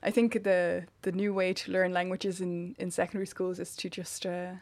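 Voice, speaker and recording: A woman's voice: on the low side, level tone, normal speed, darkish tone, dry recording. Chatty woman interviewer, dry sound. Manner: chatty, laughs often, many back-channel yeahs. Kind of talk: casual interview chat.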